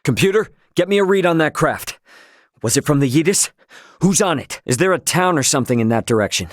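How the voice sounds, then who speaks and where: A man's voice: fairly high pitch, animated, a little fast, no echo, studio quality, lightly acted. A male actor playing a young, brash, easygoing but stubborn spaceship captain in a video game, with a casual, slangy, wry manner. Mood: breezy, casual. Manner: casual slangy, wry, loosely drawled asides. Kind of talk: English video game voice acting.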